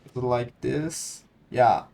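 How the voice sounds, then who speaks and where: A man's voice: mid-range voice, slow, creaky, mellow. A man from Iran with an Iranian accent, a native Persian speaker, giving casual gameplay commentary and hesitantly searching for English words. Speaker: gaming content creator.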